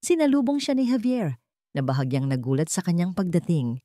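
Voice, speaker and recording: A woman narrates a scripted drama as a storyteller. Her voice is low for a woman, and the recording is dry with hardly any room sound. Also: sing-song, brisk, mellow, dry recording.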